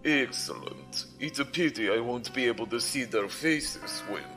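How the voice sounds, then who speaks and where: An actor playing a stern, pompous commodore with a cutting, commanding manner in a science fiction audio drama. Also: male voice, medium pitch, expressive, normal speed, pushed and bright, faint music behind. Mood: impatient. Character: stern, scornful male space military commodore.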